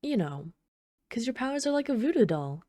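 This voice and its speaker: A woman playing an obsessive, flirtatious villain in an intimate ASMR roleplay, speaking softly and unhurriedly with teasing, casual menace. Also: fairly high pitch, very animated, a little fast, no echo, clean studio sound. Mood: smitten, teasing. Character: obsessive yandere villain, flirtatious bomber calmly toying with trapped hero.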